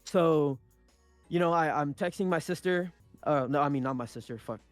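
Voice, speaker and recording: A man's voice: on the high side, dry recording. A young man, Punjabi-speaking by birth, telling a story in a casual podcast chat, with frequent restarts and swearing. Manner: rambling, many restarts and swearing. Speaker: podcast co-host.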